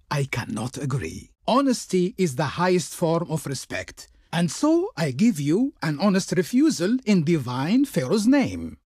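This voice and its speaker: An actor playing a haughty, pompous envoy of an ancient Egyptian Pharaoh in a strategy video game, declaiming in ornate, theatrical phrases. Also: male voice, fairly high pitch, a little mellow, slightly rough, dry recording, studio quality, exaggerated voice. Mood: courteous refusal. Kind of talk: theatrical historical strategy game diplomacy lines.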